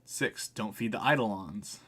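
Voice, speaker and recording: A man's voice: lowish voice, unhurried, a bit bright, husky. A man doing casual live let's play commentary, chatting informally and reading game text aloud as he reacts. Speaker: game streamer.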